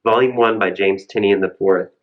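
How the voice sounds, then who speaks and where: Low-voiced man, slightly muffled recording. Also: steady tone, normal speed. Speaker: library podcast co-host. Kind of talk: casual book recommendation chat.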